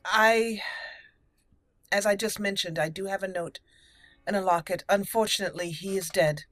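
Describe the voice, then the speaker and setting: A woman with a mid-range voice narrates her playthrough of a game. She moves between reading her character's dialogue in role and commenting as herself, with soft music underneath. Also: mid-range voice, fairly even intonation, normal speed, no room sound. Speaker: gameplay host. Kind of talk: let's play commentary with in-character roleplay. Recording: music behind the voice.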